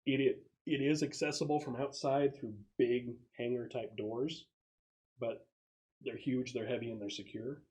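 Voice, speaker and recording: A man's voice: medium pitch, steady tone, normal speed, darkish tone. A man running a tabletop roleplaying game as game master, describing scenes casually and piecing them together aloud.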